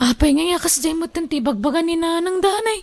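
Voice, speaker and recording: A young Ilocano Filipino wife in a radio drama. Her voice sits high. She is resentful and sharp-tongued, forever complaining about her in-law. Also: lively intonation, brisk, faint music behind.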